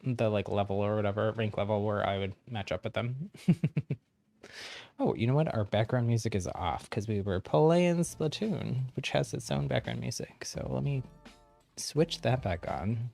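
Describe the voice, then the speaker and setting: A man's voice: medium pitch, moderate pace, creaky, warm tone, soft background music. A man chatting casually on a game livestream, explaining things to newcomers in a friendly, encouraging way with frequent chuckles. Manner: chuckles often while talking. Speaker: game streamer.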